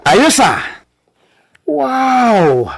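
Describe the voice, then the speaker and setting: A man playing a boisterous, boastful, bossy male character in an Ilocano radio comedy, with an Ilocano accent and a loud, exclaiming delivery. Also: extremely high pitch, sing-song, drawn-out words, creaky, slightly rough, full character voice. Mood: excited, bossy.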